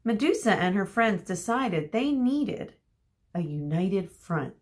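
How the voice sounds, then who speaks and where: Slow-paced woman reading to children. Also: mid-range voice, very animated. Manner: explains words and asks listeners questions. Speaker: teacher reading a children's book aloud. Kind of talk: expressive read-aloud with explanatory asides to children.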